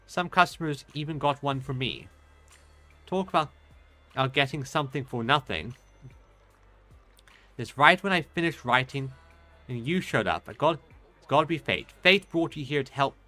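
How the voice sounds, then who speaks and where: Male let's-play host: forward, bright tone, rambling casual delivery, faint game music underneath. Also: medium pitch. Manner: rambling, hesitant thinking aloud. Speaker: game streamer. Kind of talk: casual let's play commentary, reading dialogue aloud.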